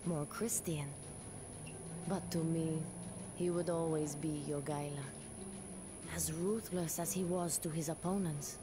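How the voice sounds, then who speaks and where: A young medieval queen tells her story in a low-pitched, unhurried voice. She is pious, dutiful and wistful, a solemn game-campaign narrator, with clear noise behind her. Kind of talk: scripted dramatic narration of a historical game campaign.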